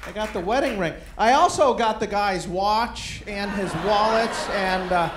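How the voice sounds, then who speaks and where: A middle-aged man delivers a stand-up set in a deadpan, self-deprecating way, drifting into rambling asides. His voice sits fairly high for a man. The live room is roomy, with a faint crowd murmur behind him. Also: unhurried, noisy background. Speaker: stand-up comedian. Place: comedy club stage. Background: audience murmur and laughter.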